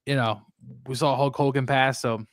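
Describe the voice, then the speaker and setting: A man co-hosts a casual sports talk show with a medium-pitched voice. His delivery is conversational and reflective, feeling its way through thoughts in a dry, close recording. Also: steady tone, normal speed, no echo.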